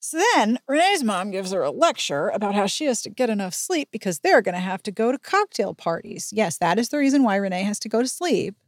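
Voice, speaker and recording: A woman's voice: mid-range voice, very animated, a little fast, few pauses, no room sound, studio quality. A woman podcast host casually recapping a book with wry, sarcastic comic asides.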